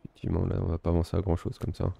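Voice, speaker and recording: A man giving relaxed, self-mocking commentary while playing a strategy game, thinking aloud. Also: deep voice, racing, gravelly. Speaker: gaming video host. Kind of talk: casual let's-play commentary.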